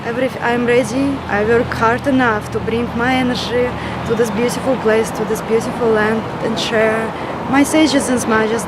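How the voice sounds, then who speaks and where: Russian accent lady, bit high, gushing, stumbly words, loud noise outside. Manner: effusive, searching for words. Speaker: vendor at a festival. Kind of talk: casual on-camera introduction.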